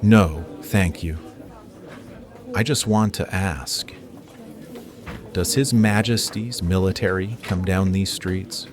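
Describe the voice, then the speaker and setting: A man's voice: sits low, slow pace, long pauses, a crowd in the background. A man playing a humble, earnest traveller from afar in an audio drama, speaking politely and formally.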